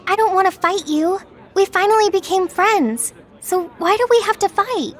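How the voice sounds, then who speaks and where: A woman's voice: very high voice, normal speed, a bit bright. A woman voicing a spirited, fiercely loyal young woman in an anime-style game, speaking earnestly and with indignation. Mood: pleading. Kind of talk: anime game cutscene acting.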